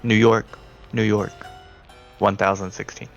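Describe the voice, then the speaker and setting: Man, game master narration, gravelly voice, slightly lo-fi, music in the background. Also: lowish voice, little pitch movement, a little slow. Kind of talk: narrating a tabletop roleplaying game.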